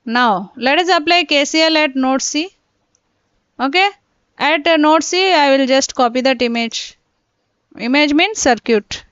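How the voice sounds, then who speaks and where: A high-pitched woman teaches an online class step by step, repeating points and checking in with students. Her voice is dry and dull, like lo-fi laptop audio. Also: a little slow, pauses often, fairly bright tone, no echo. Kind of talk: live online class lecture. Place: indoors, teaching from a computer. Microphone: laptop mic.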